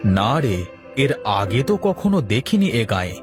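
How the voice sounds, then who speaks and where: A man's voice: on the low side, big pitch swings, unhurried, music in the background. A man playing a humble, hospitable village man who speaks courteously in a cartoon moral story. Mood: curious, polite. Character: humble, hospitable village man, respectful elder-brother type. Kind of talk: Bengali cartoon dialogue.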